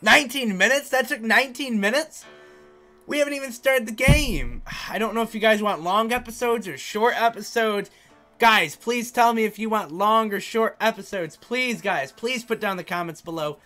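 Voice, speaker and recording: A man's voice: high voice, normal speed, bright, forceful tone, faint music behind. A man doing casual, chatty let's play commentary, speaking emphatically and repeating himself as he addresses his viewers. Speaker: gaming YouTuber.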